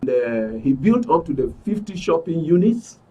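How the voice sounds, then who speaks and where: A middle-aged man with a Nigerian accent speaks as an interview guest, his voice husky and fairly high. He sits some distance from the microphone. He lays out his account earnestly, leaning on repeated phrases. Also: very animated, moderate pace, dark tone, lo-fi sound. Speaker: studio guest, aggrieved club member. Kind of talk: spoken account in a broadcast interview. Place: radio and TV studio.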